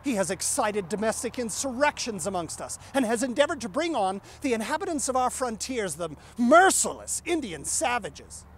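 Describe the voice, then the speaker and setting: A man's voice: very high voice, big pitch swings, moderate pace, hardly any pauses. A man giving an impassioned, indignant and emphatic oratorical recitation of a historical document. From United States. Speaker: reciter, live presenter.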